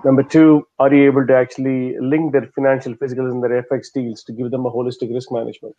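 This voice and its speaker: A man's voice: medium pitch, level tone, fast, mellow, highs cut off. A man answering as a panelist in a webinar over a video call, laying out numbered points with many "uh"s. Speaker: panelist, software specialist.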